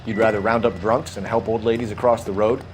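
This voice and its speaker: A man's voice: on the low side, fairly even intonation, fast talker, a little hiss or hum, lightly acted. An American man playing a keen, confident young police patrolman in a video game, clipped and matter-of-fact. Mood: confident, pressing. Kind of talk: video game dialogue acting. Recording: music behind the voice.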